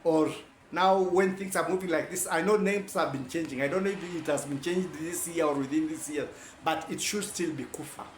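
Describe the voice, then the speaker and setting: A man's voice: fairly high pitch, moderate pace, echoey room, a little hiss or hum. A man giving a rambling, self-correcting informal lecture.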